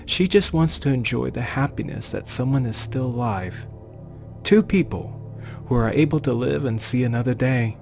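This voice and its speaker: A man reading a scripted horror story as a dramatic narrator, with theatrical, emphatic phrasing and deliberate pauses. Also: medium pitch, gravelly, darkish tone. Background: music.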